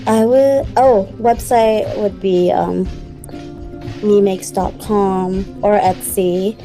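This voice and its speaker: A woman's voice: mid-range voice, fairly even intonation, slow pace, warm tone, faint music behind. A woman who grew up in the Philippines, answering interview questions in a rambling, casual way with many "like"s and frequent laughter. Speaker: guest, small business founder.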